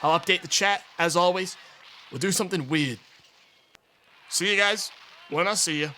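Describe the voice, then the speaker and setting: A young man with a hoarse, raspy voice, casually chatting to his viewers on a live stream and thanking subscribers in a rambling, offhand way. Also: sits high, moderate pace, sharp tone, a little hiss or hum. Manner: rambling, offhand, trails into mumbles.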